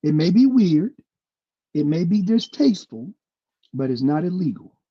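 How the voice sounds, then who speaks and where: A man's voice: on the high side, moderate pace, slightly rough, lo-fi sound. A man co-hosting a casual podcast, reading listener messages aloud and joking with his co-hosts. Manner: animated, reads listener letters and chat aloud, jokes. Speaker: podcast co-host.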